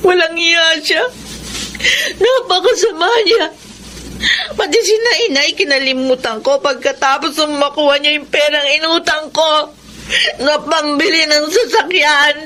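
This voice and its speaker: A woman's voice: high-pitched, fairly even intonation, a little slow, pushed and bright, a little hiss or hum. A woman playing a lovestruck, stubborn young woman in an emotional Filipino radio drama. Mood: bitter, tearful. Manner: emotional, melodramatic, defensive.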